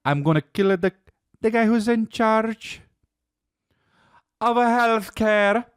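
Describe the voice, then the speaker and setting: A man voicing an excitable, emotional, cartoonish Italian man plotting a crime in exaggerated declamations for a comedy bit. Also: high voice, takes it slowly, clear, resonant tone, dry recording, studio quality, pitched above the performer's own voice. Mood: worked up.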